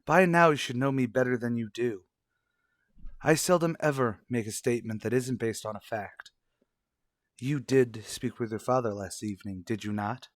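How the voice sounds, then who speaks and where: An actor playing a cold, accusing husband in a radio soap, speaking formally and condescendingly with pointed questions. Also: male voice, mid-range voice, moderate pace, no echo, studio quality, lightly acted. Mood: cold, challenging. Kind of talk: revived daytime radio soap acting.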